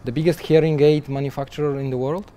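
Young male developer guest, warm mellow voice, easygoing interview talk that trips over itself. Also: on the high side, level tone, fast talker. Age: young adult. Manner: chatty, restarts often with repeated words, laughs lightly. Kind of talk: conversational interview answers. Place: conference interview booth, seated in armchair.

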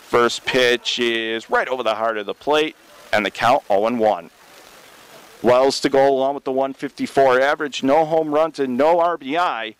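An American man calling baseball play-by-play for radio from a stadium press box, in a measured, descriptive way with plenty of detail. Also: mid-range voice, clear, resonant tone, no room sound, poor audio. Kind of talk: live sports radio play-by-play commentary.

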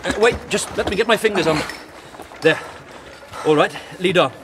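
A man's voice: high voice, normal speed, noisy background, close to an everyday voice. A man playing a young, courteous crusader knight, unsure of himself and hesitant in speech, in an audio drama. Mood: helpful. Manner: hesitant, stammering, well-mannered.

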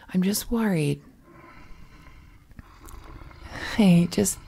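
An affectionate, playfully teasing witch girlfriend, acted by a woman for intimate ASMR. Her voice is very soft, dark and breathy, often dropping to a whisper. She coos tenderly and takes her time over each phrase. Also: mid-range voice. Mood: worried, tender. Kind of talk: ASMR roleplay, intimate close-up acting.

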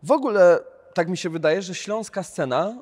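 A young man hosting a casual chat, talkative and quick to laugh, on a sofa in a large indoor hall. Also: high-pitched, a little mellow. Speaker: podcast host. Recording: long hall reverb.

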